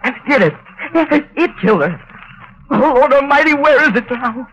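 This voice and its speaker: A man's voice: very high voice, animated, normal speed, fairly bright tone, breathy edge, exaggerated voice. An actor playing a frightened, simple-minded henchman on the run, pleading and stammering in broken grammar, in old-time radio drama style. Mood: terrified. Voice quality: whimpering, stammering, ungrammatical pleading. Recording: hissy, muffled vintage radio recording.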